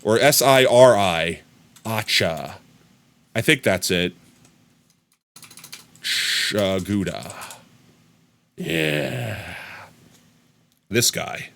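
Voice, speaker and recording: An American man chatting casually on a live stream, rambling and bursting into excited shouts of thanks to viewers. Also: fairly low pitch, very slow, creaky, long pauses. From United States. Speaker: livestreamer.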